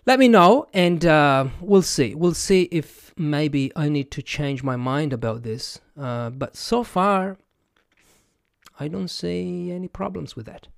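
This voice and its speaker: A man's voice: a little high, takes it slowly, gravelly, clean tone, no echo, clean studio sound. A man with an Italian accent, a podcast host thinking aloud to his audience, hesitant, with many fillers and restarts. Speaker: podcast host and language educator. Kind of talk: casual reflective monologue to camera.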